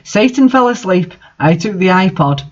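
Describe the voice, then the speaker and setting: A woman's voice: medium pitch, expressive, normal speed. Woman reading a story, slightly muffled. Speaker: fan fiction reader. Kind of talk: dramatic story reading with asides.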